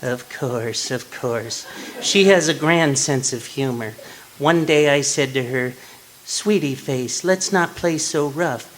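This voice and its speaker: Guy doing a mild prim henpecked husband, middling pitch, old radio comedy, bit of background noise. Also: takes it slowly, a bit bright. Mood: innocently cheerful. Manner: polite, mild, prim delivery. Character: meek, henpecked, cheerful mild little husband. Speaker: actor in a live radio comedy. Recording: live stage recording with background noise.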